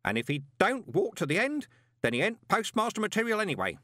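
Casting: anxious old career postman, high voice, wildly animated grumbling, storybook comedy. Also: fairly quick, dry recording, clean studio sound, exaggerated voice. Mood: scheming. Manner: muttering, rambling, uneducated colloquial speech, mock-solemn.

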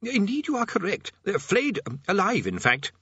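Man doing a high, hesitant, meek old prisoner. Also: wildly swooping pitch, moderate pace, bright, forceful tone, slightly rough, no room sound, highs cut off, full character voice. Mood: polite, resigned. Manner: hesitant, polite, formal wording. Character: meek, good-natured, faintly worried elderly male prisoner.

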